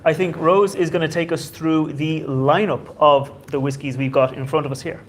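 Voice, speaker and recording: A man's voice: on the high side, fairly even intonation, normal speed, slight background noise. A man hosting a whiskey society tasting night, chatty and loose, with many fillers.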